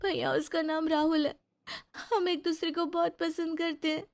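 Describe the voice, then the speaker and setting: A woman's voice: extremely high pitch, flat delivery, normal speed, gravelly. A woman voicing a remorseful young college girl in a storybook drama, pleading between sobs. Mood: timid. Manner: pleading, sobbing.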